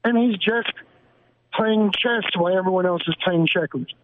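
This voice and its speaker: A man calling in to a sports radio show and making a steady, earnest argument. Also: high-pitched, normal speed, no echo. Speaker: caller. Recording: tinny noisy phone line.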